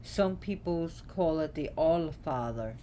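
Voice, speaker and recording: Slow-talking woman, halting, noisy recording. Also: low voice, lively intonation, dark tone. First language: not Gaelic. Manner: halting, searching for words. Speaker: presenter explaining names of a Celtic deity. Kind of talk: informal unscripted lecture. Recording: clear background noise.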